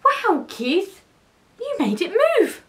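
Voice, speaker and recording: An excitable, teasing storybook cat, as a woman reader puts it on, pitched very high. The delivery is exclamatory and squealing, with big swoops up and down. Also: slow, full character voice. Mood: amazed, demanding.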